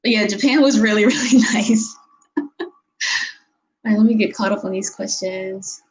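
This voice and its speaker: A woman's voice: medium pitch, normal speed, low-quality recording. Chatty giggly woman vlogger. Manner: chatty and giggly, with lots of fillers. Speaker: vlogger answering viewer questions. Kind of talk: casual chat. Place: bedroom, indoors. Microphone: webcam at desk distance.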